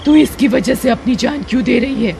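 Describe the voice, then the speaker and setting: A woman's voice: fairly high pitch, brisk, vocal fry, noisy background. A woman voicing a menacing, commanding female witch ghost in a cartoon horror story. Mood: angry, scolding. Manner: threatening, commanding.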